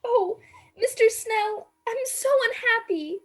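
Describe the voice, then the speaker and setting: A woman plays an innocent young maid held captive in a radio drama. The voice is extremely high and girlish. The delivery is timid, sorrowful and plaintive, captured over a video call. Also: slow. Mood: tearful, plaintive. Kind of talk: radio play acting.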